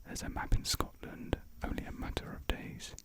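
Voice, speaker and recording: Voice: normal speed, natural voice. A whispering reader voicing a mysterious, dying woman spy giving urgent warnings. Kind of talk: ASMR whispered script reading.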